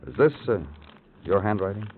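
An actor playing a weary, terse big-city police detective in a 1950s radio crime drama, with clipped, world-weary delivery. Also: male voice, on the low side, big pitch swings, moderate pace, a little hiss or hum. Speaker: narrator and lead actor. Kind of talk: 1950s radio crime drama, poetic hard-boiled narration. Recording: tinny old radio broadcast with music.

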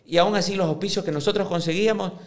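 A man in his fifties answering interview questions on a podcast, speaking in a measured way that often restarts and repeats words as he builds his points. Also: high voice. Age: 50s. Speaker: club presidential candidate.

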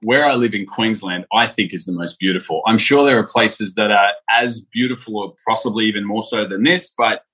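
A man from Australia with an Australian accent, a podcast guest telling stories in a chatty, animated way with frequent laughs. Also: lowish voice, a little fast, few pauses, breathy edge. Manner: chatty, animated storyteller who laughs often. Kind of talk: casual interview chat.

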